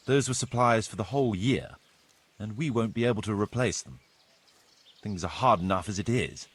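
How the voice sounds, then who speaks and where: An actor playing a grave, pessimistic medieval steward who speaks formally in a video game. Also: male voice, fairly low pitch, moderate pace, breathy edge. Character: male medieval steward bringing counsel, gloomy and pessimistic. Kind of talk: video game cutscene acting.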